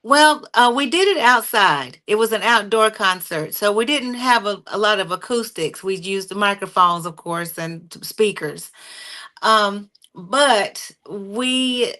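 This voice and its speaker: A woman's voice: mid-range voice, unhurried. A middle-aged woman, a singer, talking casually with many fillers as she tells stories of her career in an interview. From North Carolina. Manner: animated, warm storytelling with frequent fillers. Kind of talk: conversational storytelling.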